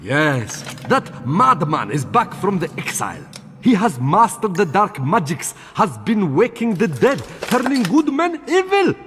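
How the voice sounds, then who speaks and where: An actor voicing a boastful, indignant genie in a video game, speaking theatrical broken English. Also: male voice, high-pitched, wildly swooping pitch, measured pace, fairly bright tone, big-room sound, a little hiss or hum, exaggerated voice. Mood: scornful. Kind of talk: animated game character dialogue.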